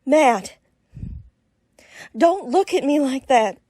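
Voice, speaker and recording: A woman playing an anxious frontier townswoman in a Western radio drama, halting and pleading. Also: high-pitched, lively intonation, mellow, no echo, clean studio sound.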